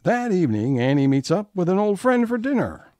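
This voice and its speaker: A man's voice: high-pitched, very animated, brisk, mellow, no room sound, soft background music. A man narrating scene changes in a scripted audio theatre comedy.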